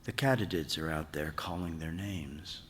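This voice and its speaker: A man reading a literary short story aloud on stage, in a measured, expressive way that brings out the drama. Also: very deep voice, slight background noise. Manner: measured, expressive, acts out dialogue. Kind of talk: dramatic literary narration read to an audience. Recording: reverberant hall, live stage reading.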